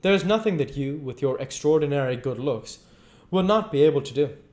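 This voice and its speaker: An urbane, worldly lord from a storybook , acted out by a male reader. He is pitched well up and speaks with flattering, emphatic persuasion and witty assurance. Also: a little fast, lightly acted. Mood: persuasive. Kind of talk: storybook dialogue read aloud.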